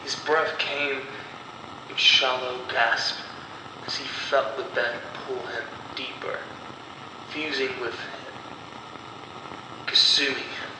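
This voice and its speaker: A man reading a scripted horror story as storyteller, in a deliberate, dramatic way with pauses between short phrases. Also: mid-range voice, fairly even intonation. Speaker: storyteller and narrator of horror stories. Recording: roomy echo, distant mic, clear background noise.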